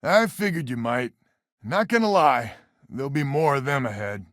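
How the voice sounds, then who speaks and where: A man's voice: mid-range voice, lively intonation, moderate pace, fairly bright tone, dry recording, studio quality, lightly acted. An actor playing a wry, weary male space colonist who heads a settler family in a video game, with a folksy, sardonic manner. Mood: earnest.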